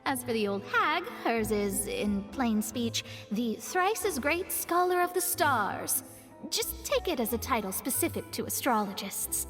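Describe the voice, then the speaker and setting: A woman's voice: on the high side, very animated, measured pace, fairly bright tone, big-room sound, faint music behind. A woman voicing a proud young female astrologist in a studio game, composed and a little haughty as she explains things. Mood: explaining.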